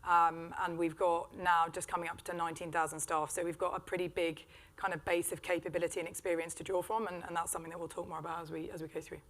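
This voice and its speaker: A British woman speaks quickly on a conference stage, her voice sitting a little low. She comes across friendly and chatty as she talks, with a faint hum of the room behind her. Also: little pitch movement, fairly bright tone. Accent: British English. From Britain. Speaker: panel guest, executive. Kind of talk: casual panel talk.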